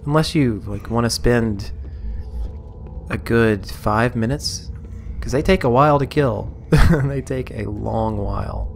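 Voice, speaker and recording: A man casually commenting on his game as he plays, rambling and amused, a little hoarse. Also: medium pitch, takes it slowly, soft background music. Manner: rambling, self-amused, sorting inventory aloud. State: slightly hoarse. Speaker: let's play host.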